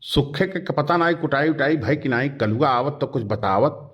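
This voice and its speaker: A man's voice: medium pitch, animated, normal speed, breathy edge, dull audio. A man voicing a blunt, grumbling village uncle with an Awadhi accent in a cartoon comedy, nagging as he talks.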